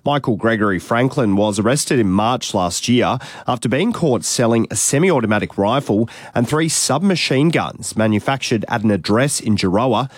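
A man reads the radio news in a measured, even voice. His tone is husky, and the sound is dry and close. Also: lowish voice, no room sound. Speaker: newsreader.

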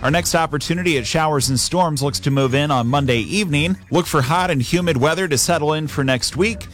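A man's voice: fairly high pitch, fairly quick. A male meteorologist delivering a scripted radio weather forecast.

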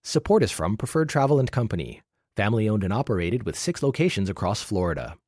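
A man's voice: lowish voice, very animated, fast, over loud music. A man reading sponsor messages in a polished, scripted announcer delivery.

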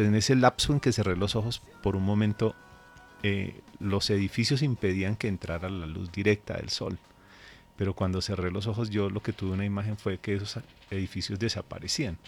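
A man who is a pastor, raised in Colombia, telling a story earnestly and measuredly in a radio interview. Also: low voice.

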